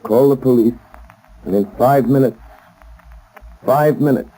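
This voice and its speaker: A man playing a resolute, self-assured gentleman sleuth in a 1930s radio drama, crisp and authoritative, on a noisy vintage recording. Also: mid-range voice, unhurried, lightly acted. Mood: grave, determined.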